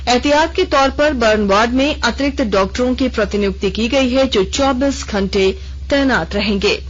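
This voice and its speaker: A woman newsreader reading a formal regional radio news bulletin in a measured, even way. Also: a little high. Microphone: studio microphone. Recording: dull lo-fi radio broadcast.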